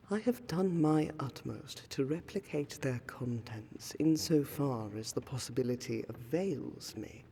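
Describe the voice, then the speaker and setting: A woman performs a refined vampire noblewoman for a fantasy audio roleplay. Her delivery is very slow and soft-edged, with a husky veil over ornate, old-fashioned phrasing. She carries a courteous melancholy, and her words ring in a long hall reverb. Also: low-pitched, heavily acted. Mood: composed, formal. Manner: formal, archaic, ornate diction, measured. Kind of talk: fantasy roleplay audio, scripted monologue to the listener.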